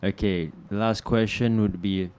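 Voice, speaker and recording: Male interview host, low voice, smooth, relaxed, even-toned. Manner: relaxed and chatty, drawn-out questions. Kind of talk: casual interview talk.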